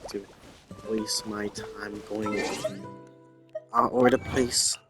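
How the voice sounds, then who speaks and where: A woman's voice: rumbling low, little pitch movement, slow, over loud music. A woman giving casual playthrough commentary as a player, in offhand muttered asides.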